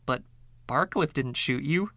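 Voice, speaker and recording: A man's voice: medium pitch, a little slow, sharp tone, no room sound, studio quality. A man voicing an exasperated, doubting pianist in a short story reading, questioning in an incredulous way. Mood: doubtful. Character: exasperated, doubting male pianist narrator named Andre.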